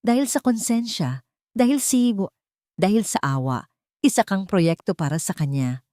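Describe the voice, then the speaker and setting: A woman voicing a calm, manipulative older rich father in a story, speaking deliberately and cuttingly. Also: mid-range voice, no room sound, studio quality, close to an everyday voice.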